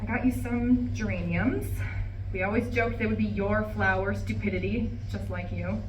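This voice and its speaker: A woman's voice: mid-range voice, fairly even intonation, moderate pace, natural voice. A woman in a live play reading, playing a bitter, refined older divorced wife in a resentful, dramatic monologue. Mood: hurt, spiteful. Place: room at a live campus reading. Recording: echoey room, distant mic, faint noise.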